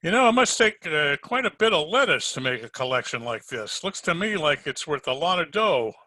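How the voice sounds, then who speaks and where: Very bright-voiced wisecracking private eye acted by a man. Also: on the high side, big pitch swings, fairly quick, full character voice. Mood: casual, probing. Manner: wry, slangy, unflappable. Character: wisecracking, unhurried male hardboiled private detective. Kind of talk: old-time radio detective acting and narration. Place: home, recorded over a video call.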